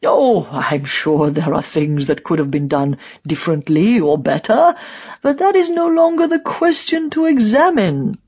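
A woman's voice: on the low side, expressive, unhurried, darkish tone, slightly rough, dull audio, heavily acted. A woman voicing a stubborn, angry old East German male propagandist in an audiobook, emphatic and sarcastic. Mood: dismissive. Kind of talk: dramatised audiobook dialogue.

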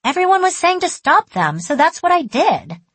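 A blunt, earnest little girl, acted by a woman reading a story, pitched high. She speaks innocently and matter-of-factly, puzzled by everyone else. The sound is close and dry. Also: very animated, moderate pace, dry recording. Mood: earnest, insistent. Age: child.

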